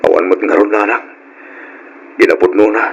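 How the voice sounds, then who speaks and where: An actor playing a troubled, placating working husband in an Ilocano radio drama, on a noisy old recording. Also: male voice, fairly low pitch, fairly even intonation, normal speed, vocal fry, slightly rough, muffled recording. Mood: worried.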